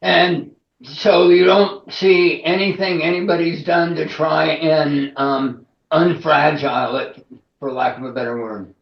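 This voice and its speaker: A man's voice: a little high, steady tone, a little slow, creaky, echoey room, dull audio. A man interviewing a guest, asking questions in an informal, colloquial way.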